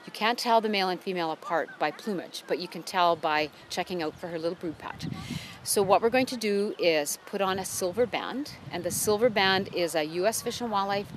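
A woman's voice: lowish voice, fairly quick, slight background noise. Woman biologist explaining outdoors. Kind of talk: explaining on camera. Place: outdoors on a farm.

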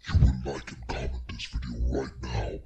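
Evil demon for a tutorial demo, pitch-shifted extremely low, menacing and flat in delivery. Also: male voice, faint music behind, full character voice. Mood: menacing, commanding. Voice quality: distorted, very deep, husky demonic growl. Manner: slow, demanding, menacing. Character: evil menacing demon monster threatening to eat souls. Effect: pitch shifted far down, later with reverb.

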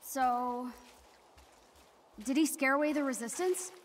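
A woman's voice: high-pitched, slow, slight background noise. A young woman with an American accent playing an eager, awkward teenage girl hero in a video game, chatty and informal. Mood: casual, curious. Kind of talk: naturalistic video game acting.

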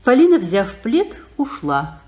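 A woman narrating the stage directions of a 1950s radio play, brisk and matter-of-fact. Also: a little high, sing-song, a little mellow.

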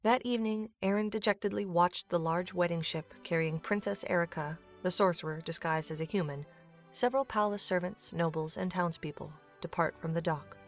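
A woman's voice: lowish voice, a little fast. A woman reading scripted narration for a fantasy audio drama. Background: music.

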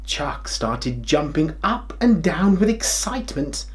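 A kindly, forgetful old king of a grandad, played by a middle-aged man, tells a story for small children. He takes his time, with a lively rise and fall in his expressive voice. His delivery is jolly and theatrical. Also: fairly high pitch, slow. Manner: theatrical, expressive, with hammed-up hesitations and chuckles.

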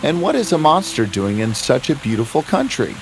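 An earnest young fairy-tale prince speaks with loyal, kind-hearted conviction. His pitch moves freely and expressively over strong background music. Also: very animated, fairly quick, poor audio. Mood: puzzled, earnest. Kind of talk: children's fairy-tale cartoon acting. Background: prominent background music.

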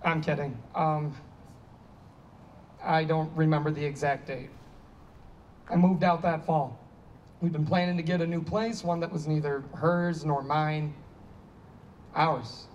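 A man's voice: on the high side, level tone, a little slow, pauses often, a little mellow, muffled recording, lightly acted. A man in a staged play reading, playing a flippant, needy friend with an evasive charm. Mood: wry, reflective. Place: outdoor stage. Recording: distant outdoor stage pickup, background noise.

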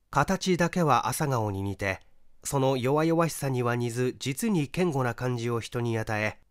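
Casting: male story reader for mystery fiction, mid pitch, highly expressive range, theatrical, dry recording. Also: dry recording. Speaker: audiobook reader, stage actor. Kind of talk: dramatic scripted narration of a mystery story.

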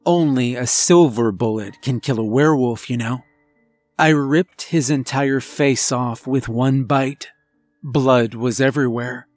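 Slow, grave werewolf father voiced by a man. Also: medium pitch, darkish tone, no room sound, close to an everyday voice. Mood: grim, intense. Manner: halting, grave, emotional. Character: remorseful werewolf husband and father confessing on tape. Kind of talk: dramatic first-person horror storytelling. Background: background music.

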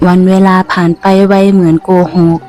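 A woman's voice: fairly low pitch, level tone, moderate pace, darkish tone, faint music behind. A woman reading a scripted ghost story as its narrator.

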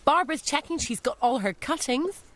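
A woman's voice: sits high, animated, normal speed, a bit bright, slight background noise. A woman in her thirties presenting a home-moving show, chatty and teasing with the family, recorded on a lapel mic in and around a house. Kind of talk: lifestyle TV presenting.

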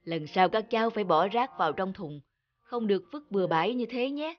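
A woman voicing a kindly old homeless man in a cartoon, gentle, soft-spoken and encouraging. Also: medium pitch, lively intonation, fairly quick, lo-fi sound, close to an everyday voice. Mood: friendly. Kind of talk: cartoon dubbing.

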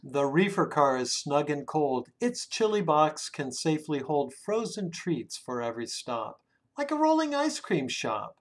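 A man reads a children's picture book aloud expressively, drawing out words and hushing the ends of phrases. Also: a little high, very animated. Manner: dramatic, with drawn-out words and hushed phrase endings. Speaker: children's story reader. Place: small room indoors at home.

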